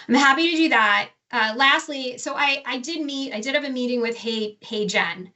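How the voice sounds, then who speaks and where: A woman's voice: fairly high pitch, bright, forceful tone. A woman professor giving a casual, enthusiastic presentation with slides, often restarting and correcting herself. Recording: distant from the mic.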